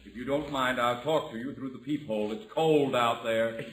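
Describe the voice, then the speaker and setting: Man playing sharp, sour radio neighbor. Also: mid-range voice, moderate pace, roomy sound, lightly acted, poor audio. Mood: dryly sarcastic. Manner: curt, sarcastic, haughty. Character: sour, cutting, grudge-holding male neighbor. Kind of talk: 1940s-50s radio sitcom acting. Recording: old radio recording, faint noise.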